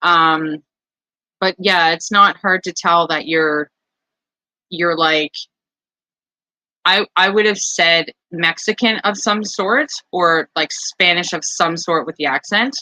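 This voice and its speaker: A woman's voice: lowish voice, slow pace, bright, forceful tone, no room sound, low-quality recording. A woman chatting casually in an online voice chat, rambling and leaning heavily on fillers. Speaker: voice chat participant.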